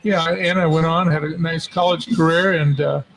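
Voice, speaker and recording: An older man chats casually and appreciatively as a guest tennis coach, in halting phrases. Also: a little high, steady tone, creaky, a bit bright, poor audio. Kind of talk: casual chat. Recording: crowd chatter behind.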